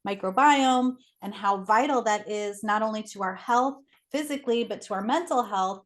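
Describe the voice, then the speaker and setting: A woman's voice: medium pitch, normal speed. A woman speaking as an expert interview guest, explaining things conversationally in an articulate, enthusiastic way.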